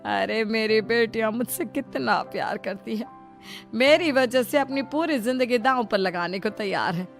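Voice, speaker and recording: A woman's voice: on the high side, normal speed, faint music behind, natural voice. A woman voicing a bossy middle-aged mother-in-law in a dramatic, emphatic cartoon style. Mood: fond, worried. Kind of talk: Hindi cartoon story acting.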